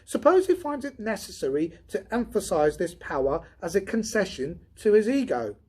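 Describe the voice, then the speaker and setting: Man voicing an excitable, high-pitched redheaded technician. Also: moderate pace, slightly rough, heavily acted. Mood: eager theorizing. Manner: emphatic, agitated. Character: excitable, speculative, weary redheaded male robot field technician. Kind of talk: audiobook character dialogue.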